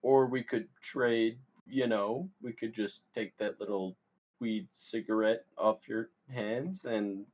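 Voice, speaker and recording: A man playing an awkward, excitable young stoner, cocky and bumbling, in a comedy script read. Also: on the low side, slow. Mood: awkward wheedling. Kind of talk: comedy screenplay table read.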